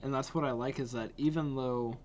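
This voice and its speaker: A man's voice: medium pitch, fairly even intonation, fairly quick, a little mellow, highs cut off, slight background noise. A young man giving casual, enthusiastic reaction commentary as a video host. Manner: enthusiastic, repetitive praise. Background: faint music.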